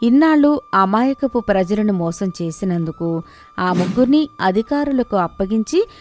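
A woman's voice: mid-range voice, expressive, normal speed, darkish tone. A woman reading a scripted moral story as its storyteller. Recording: music behind the voice.

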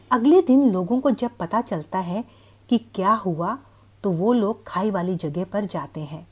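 A woman narrating a scripted horror story over a noisy background. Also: mid-range voice.

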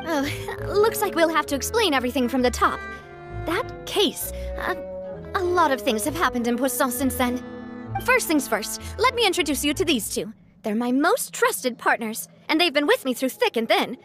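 An actress playing a young gang leader in a video game, candid and composed, with a measured, gentle way of talking. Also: female voice, high voice, sing-song, faint music behind. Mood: candid, poised. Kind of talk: video game character dialogue.

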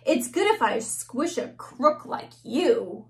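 Casting: woman doing a cocky little storybook boy, high voice, slow taunting rhyme. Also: very animated, gravelly, darkish tone, full character voice. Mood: boastful. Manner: taunting, slow rhyming delivery. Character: boastful young boy with a raised shoe.

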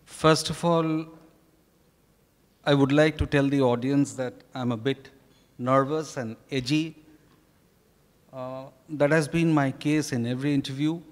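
Slow-spoken Indian man in an echoey hall. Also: medium pitch, steady tone. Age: middle-aged. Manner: slow, deliberate, halting delivery. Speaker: author being interviewed. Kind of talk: reading prepared remarks in an on-stage interview. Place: hotel ballroom stage. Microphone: handheld microphone. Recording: echoey ballroom with long reverb.

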